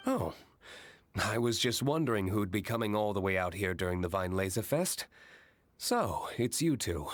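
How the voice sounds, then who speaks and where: A man playing a curt, brooding young wine tycoon in a video game, deadpan and sardonic. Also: on the low side, normal speed, no echo, close to an everyday voice. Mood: cool, unimpressed.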